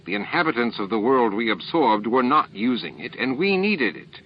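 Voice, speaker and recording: A man voicing a calm, formal, self-assured alien leader in an audiobook, condescending and baffled by others. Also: mid-range voice, moderate pace, lo-fi sound, a little hiss or hum, voice pushed up, natural voice. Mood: smoothly reasoning.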